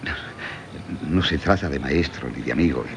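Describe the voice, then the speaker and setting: An actor with a Castilian accent playing an earnest middle-aged former teacher and suitor in formal, solemn radio theatre style. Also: male voice, normal speed. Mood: earnest, ardent. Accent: Castilian Spanish. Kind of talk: 1970s Spanish radio theatre acting. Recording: old radio broadcast, low rumbling noise.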